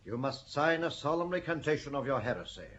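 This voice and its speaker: A man's voice: medium pitch, very animated, a little fast, a bit bright. A man playing a blunt, scornful churchman sitting in judgement in an audio drama. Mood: stern. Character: sharp, scornful male clerical accuser. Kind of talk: audio drama acting of a stage play. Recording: dull audio with some noise.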